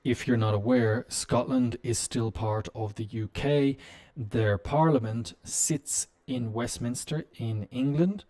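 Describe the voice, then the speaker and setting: A man's voice: medium pitch, warm tone. Man teaching on a live stream. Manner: explains slowly with many fillers. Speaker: English teacher. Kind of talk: live-stream language lesson.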